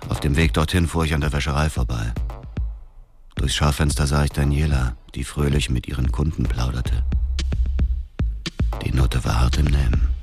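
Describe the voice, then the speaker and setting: Very deep, cold narrator. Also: male voice, steady tone, normal speed, pauses often, over music. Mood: scornful. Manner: detached, contemptuous. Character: cold, embittered male avenger narrating his revenge. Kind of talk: scripted first-person radio drama narration.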